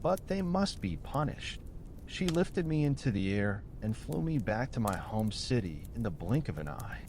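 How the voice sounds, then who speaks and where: A man's voice: lowish voice, a little mellow, a little hiss or hum. A man reading a scripted bedtime tale in a storybook narration style.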